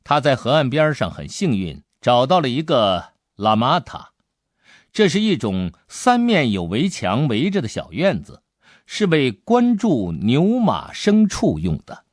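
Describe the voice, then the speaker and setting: A man narrating an audiobook adventure story in an expressive, dramatic storytelling manner. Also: mid-range voice, a little slow, no echo, clean studio sound.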